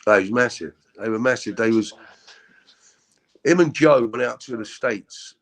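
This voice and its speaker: A middle-aged man with a deep voice, telling his story as an interview guest in a halting, candid way with many repeats. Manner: halting, repeats words, frequent ums.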